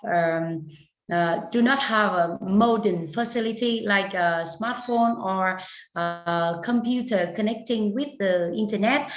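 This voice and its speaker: Hesitant, slow-speaking woman in an echoey room. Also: mid-range voice. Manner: hesitant, searching for words. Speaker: English teacher being interviewed. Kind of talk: halting spoken answers in an online interview. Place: indoor room on an online video call. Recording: roomy, echoing sound.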